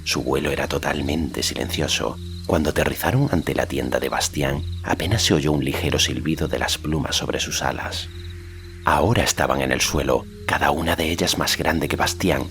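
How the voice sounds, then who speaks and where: A man narrating a fantasy audiobook in a measured storytelling manner. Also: rumbling low, creaky, a bit bright, a little hiss or hum. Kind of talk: scripted narration of a fantasy novel.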